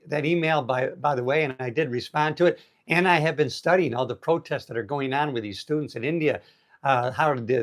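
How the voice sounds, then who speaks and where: A man in his sixties hosting a casual motivational livestream outdoors on an island, upbeat and chatty as he greets viewers. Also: mid-range voice. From United States. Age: 60s. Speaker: livestream host. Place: outdoors on an island in heavy rain. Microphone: phone held in vertical mode.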